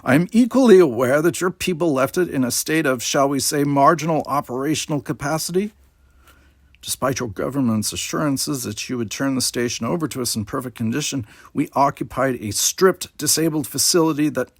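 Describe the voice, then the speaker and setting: A man voicing a commanding space station commander in an audiobook, with measured, emphatic speech and tightly controlled anger. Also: mid-range voice, dry recording, studio quality, pitched above the performer's own voice, close to an everyday voice. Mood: cold restraint.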